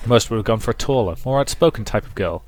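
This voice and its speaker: A man's voice: mid-range voice, expressive, fast, a little mellow. A man reading a visual novel aloud as dramatic story narration. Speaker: let's play narrator.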